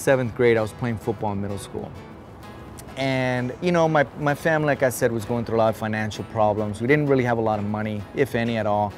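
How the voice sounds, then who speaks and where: A man in his forties, originally from Guatemala, talking about his life in an outdoor interview, in an earnest, conversational way. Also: mid-range voice. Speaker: interviewee, artist. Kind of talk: casual interview talk. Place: outdoor patio in daylight.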